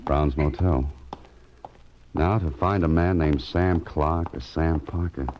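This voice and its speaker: Hard-boiled radio detective, bottom-deep pitch, wry, cool-headed, sardonic, muffled old recording. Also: male voice, slow pace, noisy background. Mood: tense, musing. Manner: sardonic, talks to himself in narrated asides. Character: wry, cool-headed private investigator, grown man. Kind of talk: 1940s radio acting. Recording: dull vintage radio recording, music behind.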